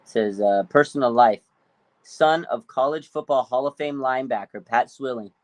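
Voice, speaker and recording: A man's voice: mid-range voice, normal speed, background noise. A man in his late thirties co-hosting a casual sports chat, opinionated and slangy with some swearing. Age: late 30s. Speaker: sports show co-host.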